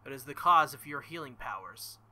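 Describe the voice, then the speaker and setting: Man voicing a young woman knight-king, formal, measured, earnest, bright edge. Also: mid-range voice, lively intonation. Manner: formal, measured speech without contractions. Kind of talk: reading visual novel dialogue aloud.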